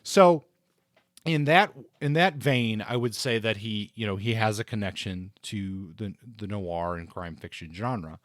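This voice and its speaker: A man's voice: fairly low pitch, unhurried, dry recording, clean studio sound. A man co-hosting a podcast, discussing books in an earnest, explanatory, conversational way. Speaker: podcast co-host.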